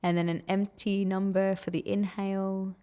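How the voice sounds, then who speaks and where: A woman leading a guided meditation in a gentle, soothing, unhurried manner with long pauses. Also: mid-range voice, little pitch movement, smooth.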